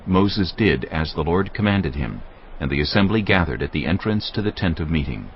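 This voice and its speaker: A man narrating a dramatized scripture reading in a measured, solemn, scripted manner. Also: deep voice, lively intonation, highs cut off, slight background noise.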